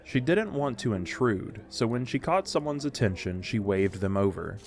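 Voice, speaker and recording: A man narrating a scripted audio drama in a measured, literary storytelling delivery. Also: on the low side, animated.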